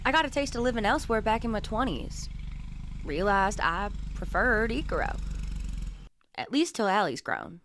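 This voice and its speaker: An actress playing a steady, guarded middle-aged mother and protective sister in a small town, in radio drama. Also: medium pitch, moderate pace, slight background noise. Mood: plainspoken. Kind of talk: naturalistic radio drama acting.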